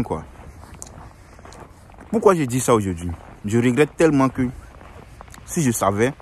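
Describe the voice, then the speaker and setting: A man's voice: mid-range voice, slight background noise. A young man giving earnest, repetitive advice in a casual monologue, often restarting his sentences.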